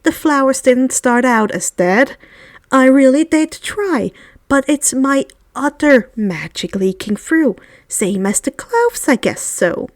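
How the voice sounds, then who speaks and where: A woman's voice: high-pitched, lively intonation, takes it slowly, dry recording. Shy eager teenage boy, stumbles over words, read by a woman for a fantasy story, close dry mic. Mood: flustered, earnest. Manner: hesitant, stammering, breathless with awe. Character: awkward smitten teenage boy, eager and earnest.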